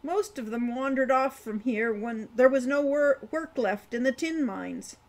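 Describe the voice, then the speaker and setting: A man's voice: very high voice, a little slow, voice pushed up. A woman voicing a gloomy, folksy old male dwarf who rambles and grumbles, in a storybook reading. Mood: rambling, folksy.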